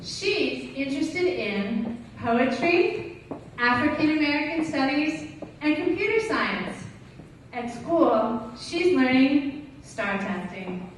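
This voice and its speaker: Female spoken-word performer, teacher type: high voice, unhurried, emphatic phrasing, heard in a very reverberant hall. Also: very animated. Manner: deliberate, emphatic, dramatic delivery with pointed pauses. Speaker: teacher performing slam poetry. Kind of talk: slam poetry recitation with acted teacher dialogue. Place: large echoey room at a gala event. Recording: echoey hall, distant mic, faint noise.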